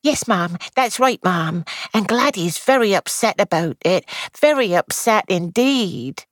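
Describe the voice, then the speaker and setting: A woman reader voices a young housemaid, fretful and deferential, who rambles in breathless gossip. Her voice swoops widely in pitch. The sound is close and dry. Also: animated, unhurried, no room sound, close to an everyday voice.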